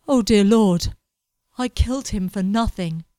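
A woman voicing a pompous, melodramatic young man chasing gold, complaining loudly about everything around him. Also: mid-range voice, moderate pace, no echo, natural voice. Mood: desperate. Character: anxious, pompous young male fortune hunter.

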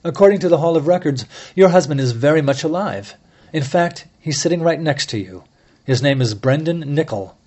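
A man's voice: on the high side, expressive, warm tone, pitched above the performer's own voice. A man doing a mild, relentless sleuth. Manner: measured, mild yet relentless, formal address. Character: calm, incisive middle-aged male amateur sleuth.

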